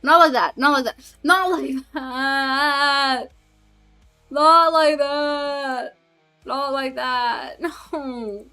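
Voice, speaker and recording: Giggly woman streamer, slightly high voice. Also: extremely slow, pushed and bright, soft background music. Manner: giggly, chatty, full of fillers. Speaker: streamer and hobby voice actor. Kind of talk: casual livestream chat, reading chat aloud.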